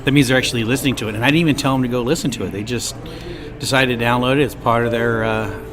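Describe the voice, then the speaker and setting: Casual male podcast co-host, medium pitch. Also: fairly even intonation, rapid-fire, crowd noise. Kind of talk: casual chat.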